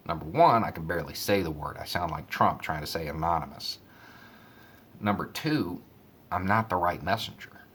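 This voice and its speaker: A man giving casual, conversational commentary and storytelling in a measured way. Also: low voice, fairly bright tone, slight background noise. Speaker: online commentator.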